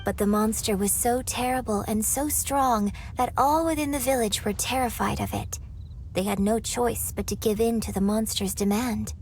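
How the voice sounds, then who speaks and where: Woman playing a poised, high-voiced opera storyteller. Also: darkish tone, a little hiss or hum, close to an everyday voice. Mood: solemn storytelling. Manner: refined, lyrical, measured storytelling. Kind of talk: video game voice acting, theatrical storytelling.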